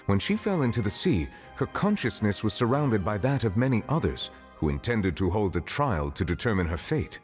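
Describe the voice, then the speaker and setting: An actor playing a solemn, composed man of authority in a game, explaining things formally and with measured care. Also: on the low side, sing-song, soft background music. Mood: measured, correcting. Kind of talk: game story dialogue, formal exposition.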